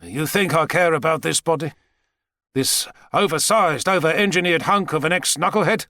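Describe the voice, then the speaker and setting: A man's voice: a little high, moderate pace, sharp tone, no echo, studio quality, pitched above the performer's own voice. A man voicing a brash, megalomaniac president with a boastful, folksy manner in an audiobook thriller. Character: American president, brash, heavy-set, megalomaniac schemer.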